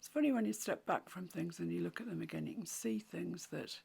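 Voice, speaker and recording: A woman with a croaky voice, teaching a watercolour painting tutorial in soft, often half-whispered instructions. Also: on the low side, fairly quick. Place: home room with a mantelpiece.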